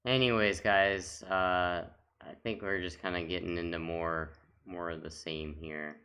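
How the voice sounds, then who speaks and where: A man's voice: deep voice, fairly even intonation, measured pace, bright, forceful tone, clean tone. A man giving casual, off-the-cuff commentary as a gaming video host, talking in a rambling, reminiscing way.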